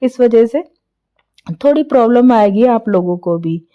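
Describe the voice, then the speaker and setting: A hoarse woman talks through her evening chores in an easy, rambling voice-over, her throat rough from a cold. Her voice sits a little high, and the recording sounds dull and lo-fi. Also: expressive, a little slow. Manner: chatty, homely, many fillers. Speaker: vlogger. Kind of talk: casual voice-over narration of daily chores.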